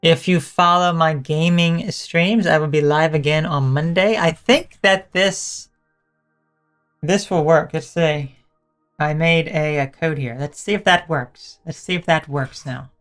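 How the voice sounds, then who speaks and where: A hoarse woman streamer chatting casually and teasingly with her viewers as she wraps up a live stream, often correcting herself mid-sentence. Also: low-pitched, unhurried, soft background music. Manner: rambling, self-correcting, teasing chat toward viewers.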